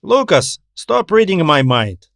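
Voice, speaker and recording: A man's voice: sits high, sing-song, a little slow, gravelly, a bit bright, no echo, studio quality, pitched above the performer's own voice, exaggerated voice. A man voicing a jaded space station trader in an audiobook, frank, grumbling and sardonic. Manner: blunt, sardonic, informal.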